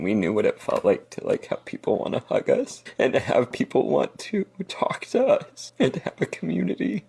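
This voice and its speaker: A man's voice: low-pitched, vocal fry, darkish tone, breathy edge. A man speaking earnestly and reflectively about his own experience. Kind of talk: personal testimony.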